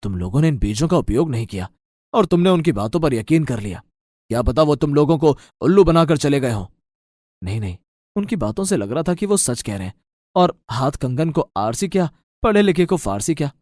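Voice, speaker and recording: A man playing a wary, blunt village farmer who questions things, in an animated moral story. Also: a little high, brisk, dry recording, studio quality, voice pushed down. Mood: persuading.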